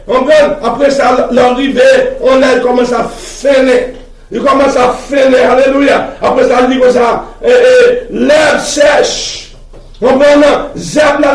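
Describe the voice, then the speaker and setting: A man with a Haitian accent and native Haitian Creole, preaching in an emphatic, repetitive way punctuated with exclamations of praise. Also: extremely high pitch. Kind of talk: fervent sermon mixing Creole and French. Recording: echoey room, distant mic, crowd noise.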